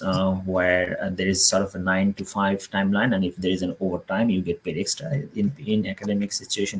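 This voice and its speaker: A man's voice: low voice, husky, poor audio. A man with a Bangladeshi accent, hosting a live online talk and explaining things to his audience. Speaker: host of an online business show.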